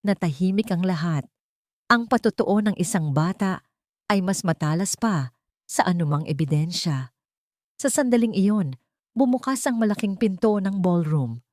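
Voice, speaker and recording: A Filipino woman narrates a dramatic audio story in the first person, her voice sitting low. The recording is dry and close, with no room around it. She pushes her pitch and volume up when she voices other people's lines. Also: animated, moderate pace, pauses often, darkish tone, dry recording. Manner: rises in pitch and volume for quoted dialogue.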